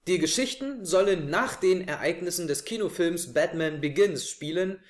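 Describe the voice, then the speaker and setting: A young man hosting a scripted review to camera from his living room. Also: on the high side. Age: young adult. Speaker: host. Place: small living room, on a sofa. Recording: echoey room, camera mic.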